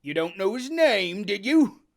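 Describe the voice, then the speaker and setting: A reader voicing a cunning, hearty one-legged old pirate innkeeper who talks loudly in sailor's slang. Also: mid-range voice, sing-song, slow pace, creaky, voice pushed up, exaggerated voice. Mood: blustering. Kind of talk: audiobook character voice.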